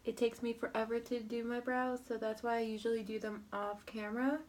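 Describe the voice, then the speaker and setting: A young woman talking through her makeup routine in a slow, rambling way. She is sniffly from a cold. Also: on the high side, level tone, darkish tone. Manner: slow, rambling, filler-heavy commentary while applying makeup. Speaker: beauty vlogger. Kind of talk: makeup tutorial chat.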